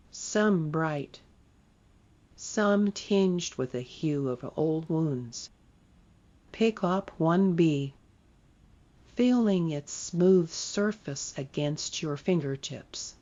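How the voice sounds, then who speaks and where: A meditation guide leading a guided sleep meditation in a soft, soothing way. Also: mid-range voice, highs cut off. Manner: slow, soft, soothing delivery.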